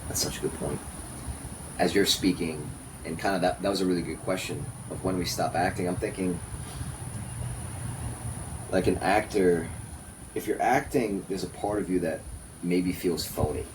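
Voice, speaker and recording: Deep voice guy, podcast guest, thoughtful casual talk in short bits, noticeable room noise. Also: steady tone, moderate pace, long pauses. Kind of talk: casual reflective conversation. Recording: noisy room ambience.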